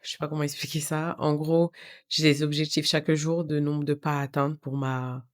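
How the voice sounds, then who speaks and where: A woman's voice: deep voice, little pitch movement, moderate pace. A woman in her thirties vlogging at home, chatting casually and slipping English phrases into her talk, her voice congested from a cold. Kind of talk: casual vlog talk to camera.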